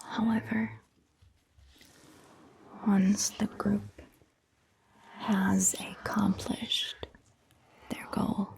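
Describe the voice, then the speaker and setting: A woman voicing a calm, attentive vampire doctor in a gentle, breathy, mostly whispered ASMR roleplay, speaking in a measured way. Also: mid-range voice, rough recording. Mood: calm, musing. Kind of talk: soft-spoken ASMR roleplay.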